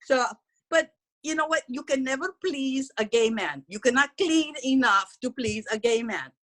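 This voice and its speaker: A woman doing a loud, gossipy housekeeper who dishes on her celebrity bosses in blunt, broken English. Her voice sits a little high for a woman, chatty and unfiltered. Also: expressive, moderate pace. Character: brash middle-aged Mexican woman housekeeper, gossipy. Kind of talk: character stand-up comedy.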